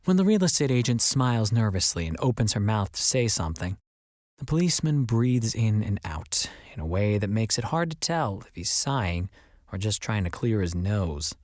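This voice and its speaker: A man reading as an audiobook narrator, in an expressive scripted storytelling style. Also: lowish voice, normal speed, no room sound, studio quality.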